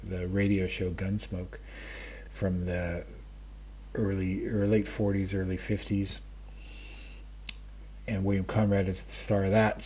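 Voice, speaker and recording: A man in his fifties or older chatting casually about stories, halting and interrupting himself in a self-deprecating way, with a husky voice. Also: on the low side, mellow. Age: 50s or older. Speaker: book vlogger. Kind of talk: casual rambling chat about stories and radio. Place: small room at home, indoors. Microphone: webcam framing, wearing wired earphones.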